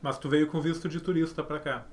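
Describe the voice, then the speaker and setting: A man, asking quick, informal and teasing questions as interviewer. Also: on the high side, fairly even intonation.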